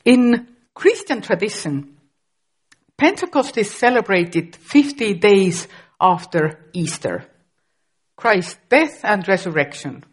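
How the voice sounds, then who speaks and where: A woman's voice: mid-range voice, very animated, takes it slowly, slightly rough. A middle-aged woman from Estonia, a native Estonian speaker with an Estonian accent, preaching a sermon carefully and with occasional restarts.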